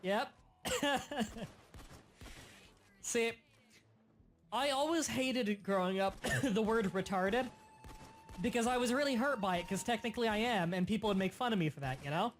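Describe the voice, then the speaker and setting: A young man hosting a casual gaming livestream, explaining game mechanics in quick bursts and sometimes stuttering. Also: sits high, a little hiss or hum. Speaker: livestream host. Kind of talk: casual gaming stream chat.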